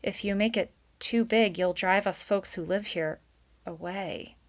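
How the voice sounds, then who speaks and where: A woman's voice: medium pitch, unhurried, natural voice. A woman voicing a polite, anxious old male animal in a children's storybook, hesitant and plaintive. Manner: hesitant, plaintive, pleading, courteous.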